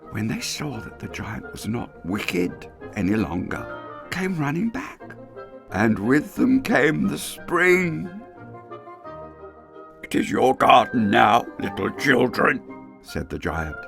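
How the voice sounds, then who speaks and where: A man giving an expressive dramatic reading of a classic tale, lingering over words and dropping to hushed asides. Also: fairly low pitch, gravelly. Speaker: storyteller. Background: soft music underscore.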